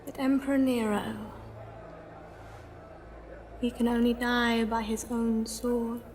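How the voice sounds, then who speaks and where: A woman's voice: on the high side, steady tone, slow pace, a little hiss or hum. A woman playing a mysterious oracle in a video game, delivering bloody prophecies in an ominous, portentous way. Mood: foreboding. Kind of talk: dramatic video game acting. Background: loud dramatic music.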